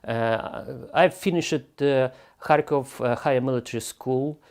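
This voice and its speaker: An older man from western Ukraine, a retired air force colonel answering interview questions in halting English with many pauses. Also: medium pitch, a little mellow.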